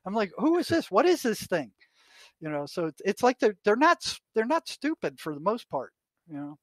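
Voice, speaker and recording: An older American man in conversation, telling anecdotes and reading aloud from notes in a halting way, often repeating his words. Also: sits high, sing-song, no echo. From United States. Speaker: retired psychotherapist, podcast guest.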